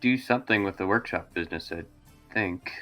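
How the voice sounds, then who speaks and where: Man, roleplaying game player, fairly low bright voice, halting casual delivery, soft background music. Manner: halting, hesitant, rephrases. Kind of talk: casual in-game talk, describing his character.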